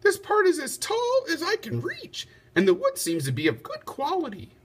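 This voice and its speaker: A man's voice: extremely high pitch, huge pitch swings, moderate pace, voice pushed up, full character voice. A man voicing a practical, observant lady in a storybook, speaking matter-of-factly. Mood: approving. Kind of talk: storybook read-aloud.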